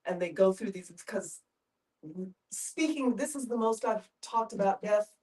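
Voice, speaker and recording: A woman's voice: mid-range voice, unhurried, a little mellow. A woman sharing personal reflections in a group discussion. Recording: voice picked up from a distance.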